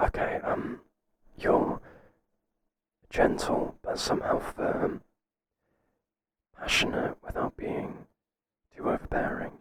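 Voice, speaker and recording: A man playing a stern but flustered boss in an ASMR roleplay, speaking in a halting, breathy whisper. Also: close to an everyday voice. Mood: reluctant, tender. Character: stern, flustered male boss resisting an employee's advances. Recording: clearly noisy, hissy background.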